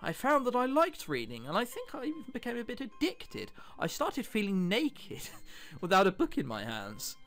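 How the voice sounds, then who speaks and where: A man's voice: sits high, huge pitch swings, normal speed, fairly bright tone. A British man hosting a let's play, reading a visual novel aloud and adding chatty, jokey commentary. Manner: jokey, chatty asides between read passages.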